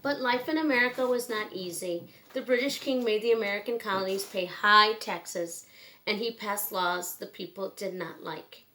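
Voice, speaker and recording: A woman reading a children's book aloud in a simple, measured storytelling manner with pauses. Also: mid-range voice, sharp tone, slight background noise, rough recording.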